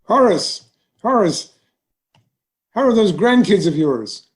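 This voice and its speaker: A man playing a genial, seasoned small-town bank president in a musical theatre table read, speaking in a courteous, measured way. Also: high-pitched, animated.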